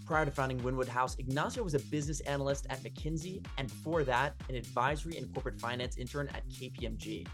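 A man hosting a podcast, reading a scripted intro and asking brisk, eager interview questions. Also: medium pitch, over music. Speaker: podcast host.

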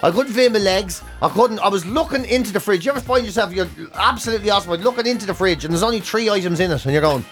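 A man's voice: high voice, fast talker, few pauses, soft background music. An Irish man co-hosting a casual podcast, swearing freely as he rants with comic exaggeration and acts out bits of dialogue. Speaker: podcast co-host and radio presenter.

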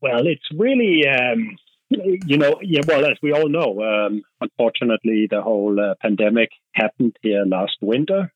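A man's voice: mid-range voice, moderate pace, dry recording, muffled recording. A man from Denmark with a Danish accent, talking through his answers as a podcast guest, with plenty of fillers and restarts. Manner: hesitant, thinks aloud with many fillers and restarts. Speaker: guest, marketing director. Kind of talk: casual podcast interview.

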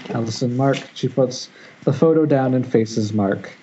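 A man's voice: medium pitch, a little slow, warm tone, slightly rough. A man narrating screenplay stage directions at an amateur table read, now and then stumbling and rereading words. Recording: muffled internet call, faint noise.

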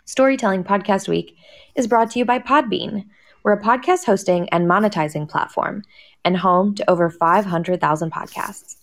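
A woman's voice: medium pitch, normal speed. A woman host delivering a scripted promotional introduction for a live session.

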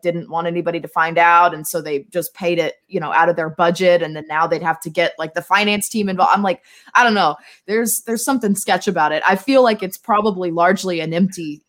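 A woman chatting casually and emphatically as a guest on a talk show, with a cynical way of talking. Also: mid-range voice. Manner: self-described cynic, emphatic. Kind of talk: casual chat.

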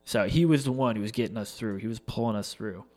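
A man's voice: lowish voice, quick pace, a little mellow. A young man telling a casual, rambling story with lots of fillers and swearing, sounding a little congested from allergies.

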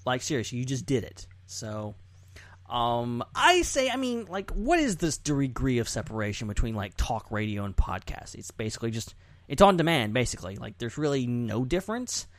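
A man's voice: medium pitch, sing-song, normal speed, no room sound. A man hosting a podcast in casual, informal chat, repeating short phrases for emphasis. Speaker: podcast host.

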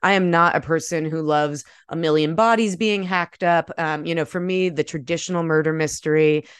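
An American woman, an author speaking in an articulate, measured way with a smooth, clear voice. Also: low-pitched, fairly even intonation, no echo, clean studio sound. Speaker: debut author, guest. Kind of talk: conversational interview talk and prose reading from own novel.

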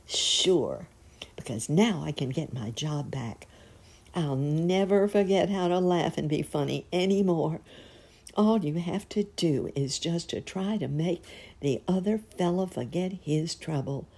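A woman's voice: low voice, expressive, measured pace, creaky, darkish tone, breathy edge, exaggerated voice. A woman voicing a kindly, earnest little male clown in a storybook tale, coaxing and encouraging. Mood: excited.

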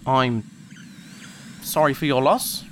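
A man's voice: medium pitch, slow, a bit bright, slight background noise. A man playing an awkward, eager space adventurer sidekick in a sci-fi audio drama, earnest, bumbling and comically over-polite. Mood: awkward, apologetic.

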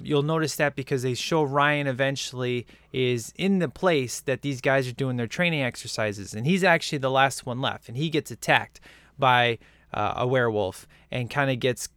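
A man's voice: medium pitch, fairly bright tone, clean tone, no room sound, clean studio sound. A man co-hosting a casual movie-review chat, rambling and enthusiastic with lots of fillers. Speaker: podcast co-host reviewing a film.

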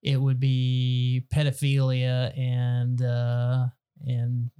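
A man's voice: mid-range voice, completely flat delivery, extremely slow, warm tone, clear, resonant tone. A man co-hosting a casual comedy podcast, joking and rambling with restarts. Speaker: podcast co-host.

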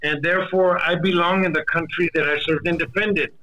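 A man from Mexico giving earnest personal remarks as a guest, halting and restating his points for emphasis. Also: on the high side, fairly even intonation, pushed and bright, rough recording. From born in Mexico. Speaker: interview guest, deported military veteran.

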